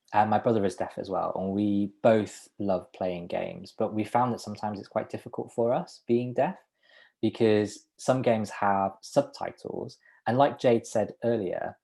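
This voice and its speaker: A man with a very deep voice speaks a presenter's words aloud as a sign language interpreter. He talks in the first person, halting at times with restarts and small laughs, over a video call. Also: vocal fry, a little mellow.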